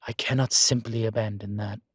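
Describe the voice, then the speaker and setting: A man playing a guilt-ridden, centuries-old vampire in an audio drama, speaking gravely and formally with weary, deliberate pauses. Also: fairly low pitch, steady tone, no echo, clean studio sound. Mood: earnest, resolute. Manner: slow, grave, deliberate phrasing with long pauses. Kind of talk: dramatic audio roleplay acting.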